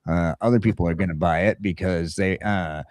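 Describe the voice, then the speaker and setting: Very deep-voiced man chatting casually. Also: fairly even intonation, a little fast, darkish tone, no room sound, studio quality. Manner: rambling, repeats phrases for emphasis. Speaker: talk show host. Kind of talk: casual chat.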